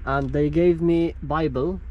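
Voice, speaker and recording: A young man whose first language is Polish, a truck driver vlogging casually outdoors at parking spots. He talks in a halting way with many fillers. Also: a little high, fairly even intonation, highs cut off. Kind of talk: casual vlog talk to camera. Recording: outdoor vlog audio with road noise.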